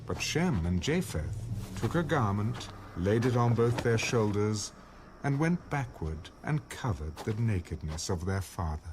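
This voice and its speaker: A man reading scripture as a scripted audio Bible narration, in a measured, expressive storytelling delivery. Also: lowish voice, background noise.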